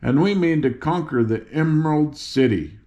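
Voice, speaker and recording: A man's voice: on the high side, animated, normal speed, warm tone, highs cut off, pitched above the performer's own voice, close to an everyday voice. A man voicing a fierce young girl general, speaking in a commanding, oratorical way. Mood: fierce, defiant.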